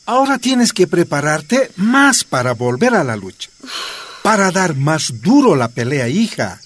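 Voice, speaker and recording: Earnest older father, high-pitched. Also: big pitch swings, measured pace, fairly bright tone, breathy edge, heavily acted. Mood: proud, urging. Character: devoted older father, proud former union leader. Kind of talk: radio drama acting.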